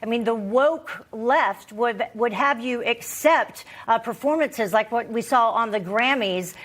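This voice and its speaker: Higher-pitched American woman hosting a talk show. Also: unhurried, a bit bright. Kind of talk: conversational political panel hosting.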